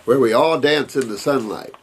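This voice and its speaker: A man's voice: medium pitch, normal speed, a little hiss or hum, poor audio. An older man, a naturopath, talks casually and rambles as he reads out viewer letters and answers them with emphatic advice. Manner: rambling, emphatic, repeats words and restarts.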